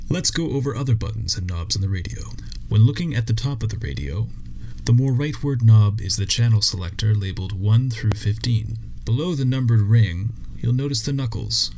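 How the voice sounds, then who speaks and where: A man reading a scripted instructional narration in a measured, step-by-step way as a training video narrator. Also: fairly low pitch, gravelly, darkish tone, a little hiss or hum.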